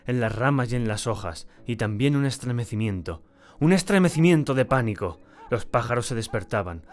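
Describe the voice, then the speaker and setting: Guy doing a haunted obsessed man, intense dramatic audiobook telling, medium pitch, some music behind. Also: lightly acted. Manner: intense, swinging from hushed dread to frantic cries. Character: tall, terrified, obsessed man confessing a desperate act. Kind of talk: dramatic first-person audiobook narration.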